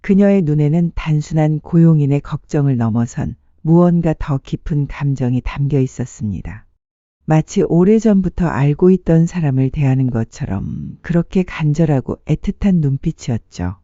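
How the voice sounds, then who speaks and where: A narrator reading a dramatic story in a measured, sentimental storytelling manner. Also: mid-range voice, warm tone, dry recording, highs cut off. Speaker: audiobook narrator.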